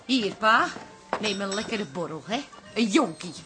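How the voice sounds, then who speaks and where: A woman's voice: mid-range voice, expressive, unhurried, music in the background, lightly acted. An actress playing a bossy, fussing daughter and landlady in a radio drama, mothering and emphatic. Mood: soothing.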